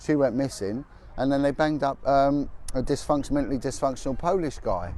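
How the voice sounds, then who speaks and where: A middle-aged man streams live from a city park, speaking bluntly and with heat, often doubling back on his own words. His voice sits at an ordinary pitch, and traffic passes behind him in the open air. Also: brisk, mellow. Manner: blunt, impassioned, repeats words when fired up. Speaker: host of a live podcast.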